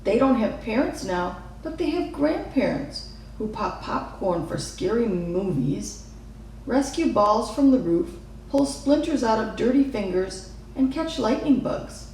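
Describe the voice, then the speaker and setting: A woman's voice: fairly high pitch, lively intonation, unhurried, darkish tone. A woman voicing an earnest, wistful little girl from a storybook, with eager, wondering phrasing. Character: earnest, wistful little girl who loves her mother. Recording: echoey room, distant mic, faint noise.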